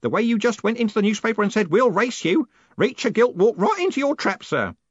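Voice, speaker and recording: A man's voice: big pitch swings, fairly quick, slightly rough, dry recording, dull audio, full character voice. A man voicing a sly, cackling old man in an audiobook, eager and deferential, wheedling as he talks. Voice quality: cackling, high-pitched. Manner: deferential, wheedling, often adds "sir". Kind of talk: audiobook character voice.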